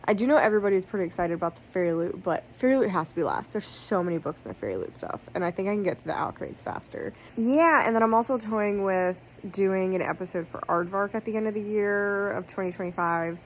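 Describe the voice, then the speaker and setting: A woman's voice: mid-range voice, quick pace, few pauses. Woman, 30s, book vlogger; rambling casual chat, worn out and stuffy-nosed, faint noise behind. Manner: rambling, self-correcting, fillers like "like". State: tired and congested. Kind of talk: casual chatty vlog. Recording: faint background noise, lo-fi phone clip.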